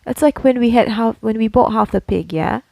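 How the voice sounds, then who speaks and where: A woman's voice: on the high side, fairly quick, creaky, a little mellow, no echo. A woman from Slovakia, co-hosting a casual podcast and explaining things in a chatty way, often checking that her listener follows. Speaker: podcast co-host. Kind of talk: casual conversational podcast chat.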